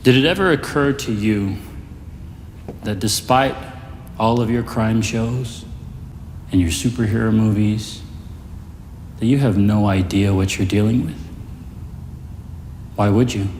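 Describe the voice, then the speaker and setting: Male actor as calm condescending evil mastermind, low voice, measured lecture, echoey, dramatic monologue. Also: close to an everyday voice. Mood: quiet menace. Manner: measured, lecturing, quietly superior. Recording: echoey hall-like reverb, faint noise.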